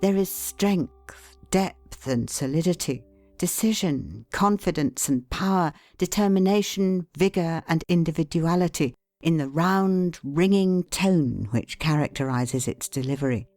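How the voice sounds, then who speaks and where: A woman reading an audiobook in a measured, formal, deliberate manner. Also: low voice, a little mellow, no echo, faint music behind. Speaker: audiobook narrator. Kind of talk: scripted audiobook narration.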